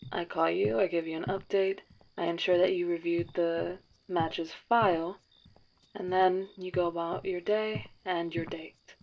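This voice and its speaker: A precise, formal matchmaker who runs things her own way, played by a woman. Her voice sits a little low and she speaks in clipped, businesslike phrases. The recording sounds a bit dull, with a faint hiss. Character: crisp, controlling woman dating agency matchmaker. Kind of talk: audio drama roleplay.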